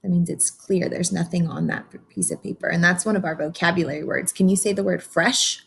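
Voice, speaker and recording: A woman reading a picture book aloud to young children as a teacher does, pausing to explain and ask simple, encouraging questions. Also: fairly low pitch, steady tone, gravelly, a little hiss or hum.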